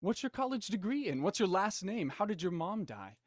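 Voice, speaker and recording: An actor playing a smug, menacing villain in an audio drama, mocking, theatrical and condescending in manner. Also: male voice, high voice, very animated, moderate pace, no echo, clean studio sound. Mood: cruel, commanding. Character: smug, menacing male villain, cold culprit and puppet-master writer.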